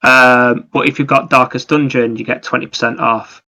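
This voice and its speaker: A man's voice: medium pitch, fairly even intonation, normal speed, sharp tone. A man co-hosting a podcast, casually explaining video games in detail. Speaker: podcast co-host. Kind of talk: casual video game discussion.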